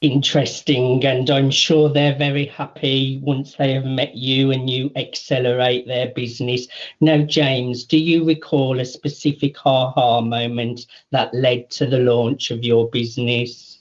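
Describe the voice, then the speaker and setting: A male radio host speaks slowly and deliberately in a medium-pitched voice. He introduces guests and asks questions, full of praise. The recording sounds slightly dull. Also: flat delivery. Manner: slow, deliberate, enthusiastic praise. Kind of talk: radio interview hosting.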